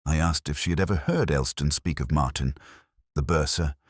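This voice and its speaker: A man narrating a first-person detective story in a measured, reflective, literary manner. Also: rumbling low, slightly rough, dry recording, clean studio sound.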